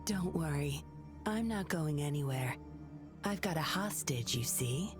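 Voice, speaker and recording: A woman's voice: sits low, expressive, measured pace, music in the background. An actress playing a cool, sly, commanding female operative in a video game, with a controlled, taunting, menacing manner.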